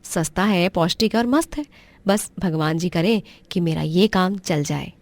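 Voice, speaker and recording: A woman voicing a kind, resourceful young married woman in a cartoon story, polite and expressive. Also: fairly low pitch, measured pace, dry recording. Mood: reassuring.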